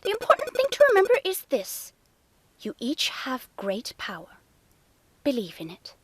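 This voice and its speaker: A woman's voice: high voice, huge pitch swings, normal speed, husky, dry recording, exaggerated voice. A woman playing a whimsical magic teacher in a game fan dub, reassuring yet secretive. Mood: uneasy, reassuring.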